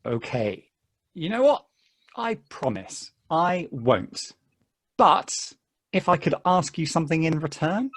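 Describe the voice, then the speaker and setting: A man's voice: a little high, very animated, a bit bright, full character voice. Man acting an earnest, friendly, chatty hiker. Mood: earnest, pleading. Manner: halting, polite, chuckly, eager. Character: earnest, chatty, friendly male hiker, eager to befriend everyone. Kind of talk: stage play table read.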